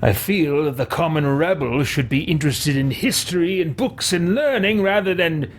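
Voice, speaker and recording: A man hosting a game playthrough, musing in character with hesitant pauses and hums. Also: fairly high pitch, lively intonation, slight background noise. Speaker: let's play host. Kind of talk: in-character roleplay commentary over a video game.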